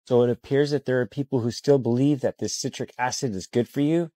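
Male short-video creator, 30s, mellow and dry, assertive. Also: medium pitch, a little fast, dry recording. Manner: assertive, warning. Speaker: social media creator. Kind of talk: commentary.

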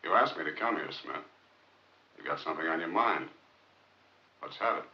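An actor playing a blunt, guarded male rocket scientist, curt and measured in 1950s film style. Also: deep voice, expressive. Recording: dull, muffled 1950s film soundtrack.

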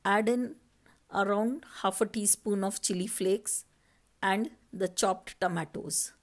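A woman's voice: medium pitch, fairly even intonation. A woman from India, narrating a recipe step by step as a cooking channel host over her footage. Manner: slow, step-by-step instructing.

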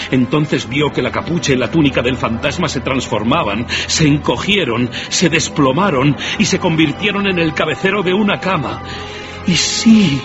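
A man tells a story in scripted, dramatic narration. His pitch sits in the middle for a man. Music plays clearly beneath him and the recording is slightly dull. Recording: dull band-limited audio, music underneath.

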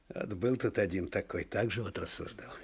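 An actor playing a weary, sardonic older provincial clerk in a radio drama, with a husky voice and folksy, wry speech. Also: male voice, lowish voice, darkish tone, slight background noise, natural voice. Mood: wry, ironic. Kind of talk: Soviet radio drama acting.

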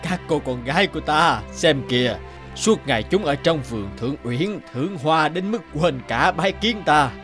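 A man's voice: fairly high pitch, expressive, normal speed, a bit bright. Stately old heaven king, doting fairy-tale cartoon dad, music behind. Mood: fond grumbling.

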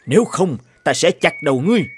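A man's voice: on the high side, expressive, fast, slight background noise, lightly acted. An actor playing an imperious, bullying cartoon king who scolds and threatens haughtily. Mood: commanding. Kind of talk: dubbed cartoon fairy tale acting. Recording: music behind the voice.

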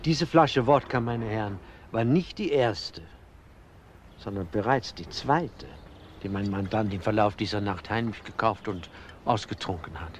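A man playing a courteous, formal lawyer in a crime radio play. He speaks deliberately and rhetorically in a fairly low voice over noticeable noise. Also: close to an everyday voice. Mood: courteous, emphatic. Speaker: defence lawyer. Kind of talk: crime radio drama acting.

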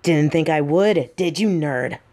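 A woman playing a possessive, brash but secretly smitten bully girl in an audio roleplay, speaking straight to the listener in a bossy, halting way. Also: deep voice, darkish tone. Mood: insistent. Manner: bossy, taunting, flustered halting delivery.

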